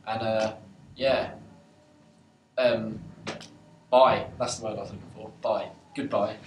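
A man's voice: on the low side, fairly even intonation, takes it slowly, a little hiss or hum. A young man from a band, rambling and jokey as he promotes a competition in a casual video. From United Kingdom. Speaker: band member. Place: dark room, band seated on a couch. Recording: echoey room from far off.

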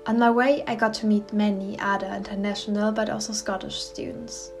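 Calm woman narrating a voice-over. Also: medium pitch, fairly quick. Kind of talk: scripted narration. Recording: voice-over with music under.

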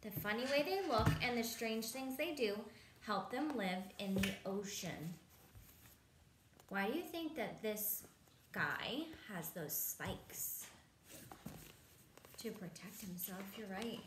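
A woman's voice: medium pitch, animated, takes it slowly, long pauses. A woman in her thirties reading a picture book aloud to young children, adding simple explanations and questions. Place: indoors in a home room, seated at a table. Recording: echoey room, clearly noisy home recording.

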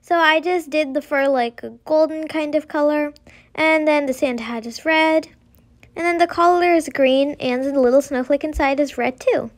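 High-pitched young girl giving drawing instructions. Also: normal speed. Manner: simple, informal instruction with frequent "like". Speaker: host of a drawing tutorial. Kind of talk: casual step-by-step drawing tutorial narration.

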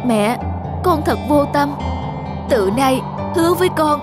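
Caring grown kid in a cartoon, earnest pleading voice, loud music. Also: female voice, high voice, lively intonation, measured pace. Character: grown child, remorseful and caring. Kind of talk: animated story voice acting.

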